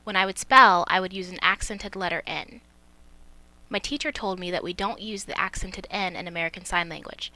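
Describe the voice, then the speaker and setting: A woman's voice: lowish voice, moderate pace, pushed and bright, rough recording. A woman student interpreter voicing a signer's story in plain first-person narration.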